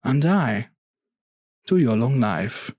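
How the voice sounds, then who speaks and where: A scheming aristocrat with a husky voice and measured, formal speech acted by a male narrator. He is falsely courteous and solicitous, hiding cold vengeance in a gothic horror tale. The sound is dry and close. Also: mid-range voice, animated, a little mellow, no echo. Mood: sly politeness. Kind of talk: gothic horror audio storytelling.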